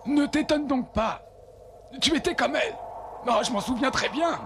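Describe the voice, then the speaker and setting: A man's voice: very high voice, moderate pace. A man playing an older, good-natured, fretful village doctor in a French cartoon dub, with background noise. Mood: fond, teasing.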